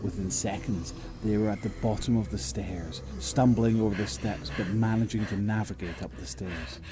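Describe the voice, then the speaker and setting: A man acting as the narrator of a zombie horror audio drama, telling it in a measured, descriptive way. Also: fairly low pitch, noisy background, natural voice. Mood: grim, suspenseful. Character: male narrator of a grim zombie horror tale. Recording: loud music under the voice. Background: music.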